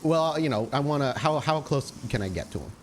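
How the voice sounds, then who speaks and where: A man's voice: mid-range voice, expressive, a little fast, slight background noise. A man chatting informally and with some swearing as a player in an improvised tabletop roleplaying game.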